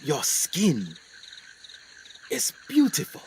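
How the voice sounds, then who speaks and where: A man's voice: fairly high pitch, huge pitch swings, very slow, a little hiss or hum, heavily acted. A man playing an English explorer doctor in a radio play, theatrical, full of awe and haunted brooding. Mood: wonderstruck. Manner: theatrical, breathless wonder, swings to hushed brooding.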